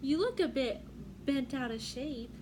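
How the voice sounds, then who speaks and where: A woman voicing a gentle, reassuring mother spoon in a children's picture book, soothing and encouraging. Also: high voice, expressive, unhurried. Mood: sympathetic. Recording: clearly noisy room background.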